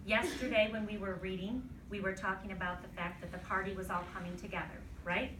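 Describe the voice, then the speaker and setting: A woman teacher reading aloud to a class and giving pupils deliberate, instructive directions, checking that they follow along. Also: mid-range voice, steady tone. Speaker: elementary school teacher. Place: classroom, recorded from a distance. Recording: roomy, distant mic, faint noise.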